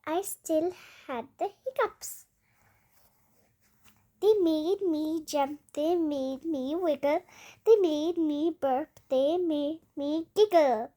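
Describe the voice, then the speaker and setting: High-pitched young girl reading very slowly. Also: dark tone. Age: child. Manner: halting, word-by-word reading. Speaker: young storytime host reading aloud. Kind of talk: children's picture book read-aloud.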